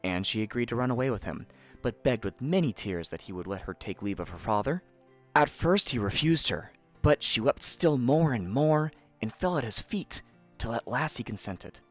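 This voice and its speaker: A man narrating a fairy tale in an expressive, theatrical storytelling manner. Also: mid-range voice, moderate pace, soft background music.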